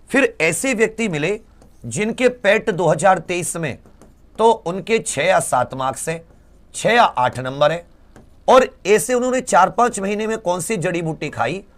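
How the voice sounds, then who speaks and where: Animated, high-pitched man rallying students. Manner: emphatic, exhorting, with rhetorical questions and repetition. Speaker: exam coaching teacher. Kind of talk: direct address to students, rallying for a campaign.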